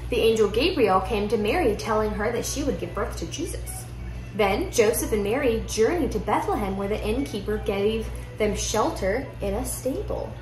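A young woman reads a children's picture book aloud with expressive storytelling in a living room. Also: fairly high pitch. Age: young adult. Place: indoor living room at home. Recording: roomy echo, music behind.

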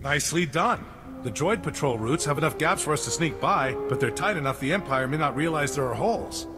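An actor voicing a businesslike resistance fighter in a video game, giving matter-of-fact, clipped military briefings. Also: male voice, fairly high pitch, expressive, brisk, natural voice. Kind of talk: scripted video game dialogue. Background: game music.

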